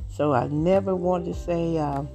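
A woman in her late fifties with a Southern US accent. She speaks in an earnest, rambling way. Her voice is dark and gravelly, and faint instrumental music plays behind her. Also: fairly low pitch, steady tone, measured pace. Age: 50s. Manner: earnest, rambling, warm-hearted testimony. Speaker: podcast host. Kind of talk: casual talk.

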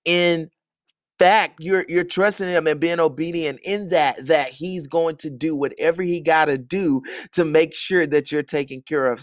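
A man's voice: a little high, fairly bright tone, no echo, clean studio sound. A man hosting a podcast, giving an earnest, sermon-like talk of encouragement from his bedroom, repeating phrases for emphasis. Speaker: podcast host.

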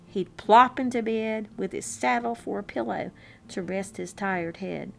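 A woman reading a children's story aloud in an expressive, unhurried, amused manner, with chatty asides. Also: medium pitch, vocal fry. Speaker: children's story-time reader. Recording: classical violin music bed. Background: classical violin concerto background track.

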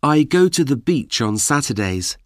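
A man reading example sentences for language learners, deliberate and evenly paced. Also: mid-range voice, sing-song, no room sound, studio quality. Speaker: course audio speaker. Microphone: dry studio mic.

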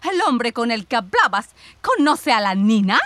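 A woman's voice: fairly high pitch, very animated, normal speed, fairly bright tone, no echo. An actress playing a suspicious, intense woman who questions people insistently in a radio drama. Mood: accusing. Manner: probing, insistent, agitated. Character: suspicious, intense sister returned from exile, interrogating. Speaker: actor in a radio play.

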